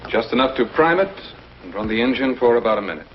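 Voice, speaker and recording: An actor playing a self-assured, secretive male inventor in an old television drama, speaking politely and deliberately. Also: mid-range voice, expressive, natural voice. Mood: guarded, confident. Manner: polite, deliberate, slyly confident. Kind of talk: mid-century American television drama acting. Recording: dull, noisy vintage TV soundtrack.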